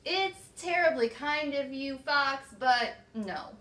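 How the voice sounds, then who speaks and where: A woman voicing a small, clever brown mouse in a storybook, polite and calmly mock-serious. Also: high voice, takes it slowly, echoey room, distant mic, exaggerated voice.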